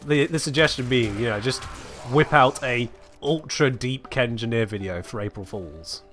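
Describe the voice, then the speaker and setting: A man's voice: medium pitch, moderate pace, a bit bright. A sick man rambles easily through a live gaming stream, answering chat between coughs. His voice sits in an ordinary middle range. Faint music plays underneath.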